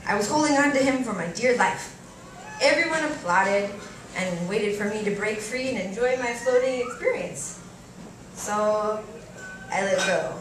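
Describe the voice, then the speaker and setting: A woman reading a first-person story aloud at a live event, expressively and theatrically, with deliberate pauses and varied emphasis. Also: mid-range voice. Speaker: reader performing a short story at a live event. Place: live reading in a cafe venue. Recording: echoey room, distant mic, faint noise.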